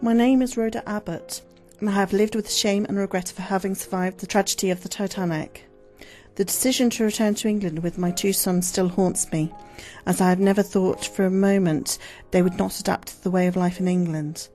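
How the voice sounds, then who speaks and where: A woman's voice: mid-range voice, steady tone, fairly quick, dark tone, faint music behind, natural voice. A woman acting a guilt-ridden, grieving mother in a solemn, formal radio play monologue. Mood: sorrowful, regretful.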